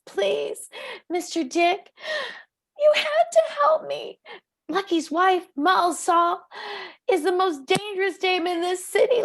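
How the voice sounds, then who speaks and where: A woman's voice: extremely high pitch, gravelly, heavily acted. A woman playing a flirtatious, melodramatic nightclub dame in a campy 1940s radio noir. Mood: desperate, pleading. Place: live community theatre stage performance.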